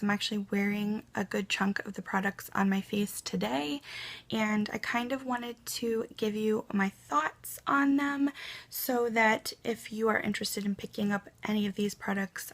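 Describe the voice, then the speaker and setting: A young woman chatting casually to camera in her bedroom about a beauty haul, a little hoarse from a cold, with lots of ums and likes. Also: on the high side, fairly even intonation, normal speed. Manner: chatty, many ums and likes, soft asides. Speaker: beauty vlogger. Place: bedroom at home.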